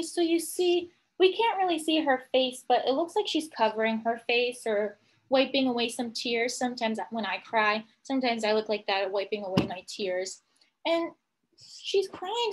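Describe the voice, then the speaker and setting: A woman teacher reading a picture book aloud to young children, pausing to explain things simply and ask questions. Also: sits high. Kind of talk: children's read-aloud with commentary.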